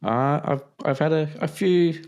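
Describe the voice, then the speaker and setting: A man's voice: on the high side, unhurried, a little mellow, smooth, lo-fi sound. A man co-hosting a podcast, asking questions in easygoing, casual chat. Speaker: podcast co-host.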